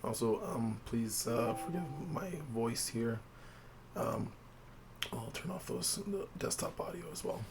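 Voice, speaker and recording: A man with a sore throat and a slightly hoarse voice, hosting a tabletop game video and explaining things quietly to viewers with lots of ums. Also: expressive, measured pace, slight background noise. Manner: quiet, low-key delivery with frequent fillers. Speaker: host of a tabletop game channel. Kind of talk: casual explainer talk to viewers. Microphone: desk mic with gain turned up.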